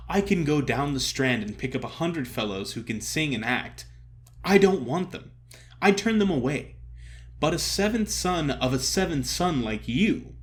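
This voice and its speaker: A man voicing an effusive, theatrical older stage comedian who cajoles and flatters grandly in an audio story. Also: a little high. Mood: earnest pleading. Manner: emphatic, drawn-out address, grand pronouncements.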